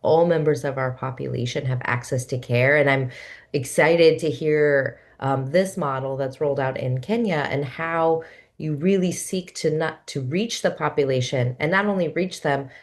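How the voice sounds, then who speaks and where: A woman's voice: low voice, normal speed. A woman moderating a webinar, enthusiastic, asking questions with many fillers.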